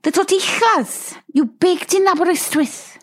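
A woman's voice: very high-pitched, unhurried. A woman playing a chirpy, matter-of-fact TV producer in a comedy sketch.

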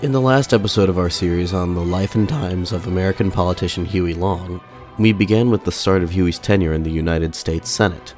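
A man hosting a podcast, narrating history in a measured, formal way, his voice slightly off after a mild illness. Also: deep voice, a little mellow, faint music behind. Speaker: podcast host.

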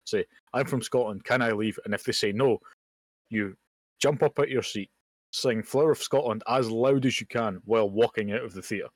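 A young man co-hosting a casual podcast, talking bluntly with casual swearing and quick jokey asides. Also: lowish voice, dry recording. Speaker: podcast co-host. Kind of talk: casual chat. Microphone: home mic over a voice call.

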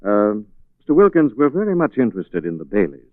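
Suave amateur sleuth for a vintage radio mystery: lowish, probing, controlled, dry narrow-band recording. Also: male voice, sing-song, no room sound. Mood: coolly suspicious. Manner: measured, pointed questioning. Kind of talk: 1940s radio acting. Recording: muffled 1940s radio recording, phone-like band.

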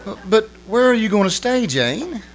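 A man plays an anxious, deserted husband in old-time radio comedy style. His voice is high and very lively in pitch. He is mild and earnest, stumbling hesitantly over his words. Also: moderate pace, poor audio. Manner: stammering, earnest.

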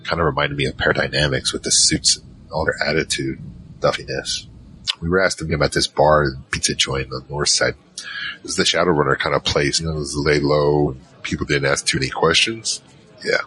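Audio drama narrator: male actor as easygoing troll mage, extremely low pitch, crisp bright edge, rambling slangy storytelling. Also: fairly even intonation, a little fast. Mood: wry reminiscing. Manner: casual, rambling, slangy asides. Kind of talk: conversational first-person drama narration.

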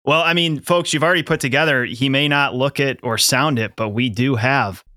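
A man's voice: mid-range voice, pushed and bright, no echo, clean studio sound. A man co-hosting a podcast, reading sponsor ads with profanity and chatting casually with guests. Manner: brash, profane, fast ad-read delivery. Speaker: podcast co-host. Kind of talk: casual podcast chat with ad reads.

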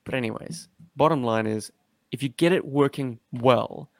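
A man's voice: medium pitch, normal speed, creaky, dry recording, studio quality. A man hosting a casual, slangy chat about action figures as a collector. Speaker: podcast host and toy collector.

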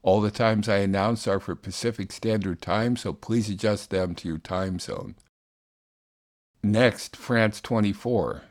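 Man, radio host, low pitch, scripted announcing. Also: fairly even intonation, measured pace, darkish tone. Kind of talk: scripted announcing between news segments.

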